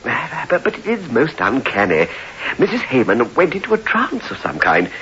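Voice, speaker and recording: An actor playing a fussy, earnest village vicar in a radio drama, speaking formally and hesitantly. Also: male voice, medium pitch, wildly swooping pitch, moderate pace, bright, forceful tone. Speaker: clergyman character. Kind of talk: 1960s radio drama acting. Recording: muffled, hissy old radio recording.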